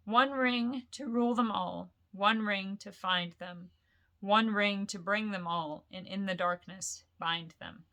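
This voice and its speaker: A woman reads the part of a grave old wizard from a fantasy storybook. The voice is bright and forward, commanding and slow, with weighty, portentous emphasis on the words. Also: medium pitch. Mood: grave, solemn.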